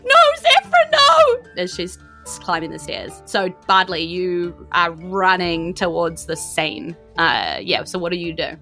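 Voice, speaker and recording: A woman with a New Zealand accent narrating as game master, in an informal, descriptive and animated way. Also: on the low side. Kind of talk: improvised tabletop game narration.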